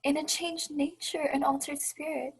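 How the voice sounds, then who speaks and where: A girl acting a high-voiced, heartbroken young woman. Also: level tone, moderate pace, low-quality recording. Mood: wounded, resolute. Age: young adult. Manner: earnest, formal period speech. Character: heartbroken young woman, gentle, resolute, dignified. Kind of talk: radio play acting.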